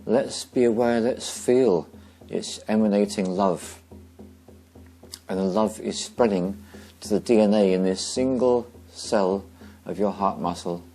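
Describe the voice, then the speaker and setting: Soft mellow guy doing guided meditation, lowish voice, gentle pauses, guitar music behind him.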